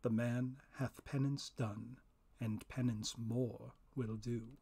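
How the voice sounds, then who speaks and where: A man voicing a gentle, knowing male spirit in a dramatic poetry reading, soft, measured and solemn. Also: medium pitch, fairly even intonation, gravelly, husky, full character voice. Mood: calm, grave.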